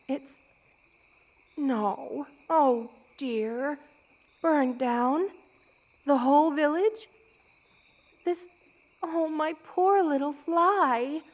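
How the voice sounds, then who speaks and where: A woman playing a motherly, regal spider queen who is gentle and protective, in a tender ASMR roleplay. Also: high-pitched. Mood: worried. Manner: tender, cooing, doting, with regal commands.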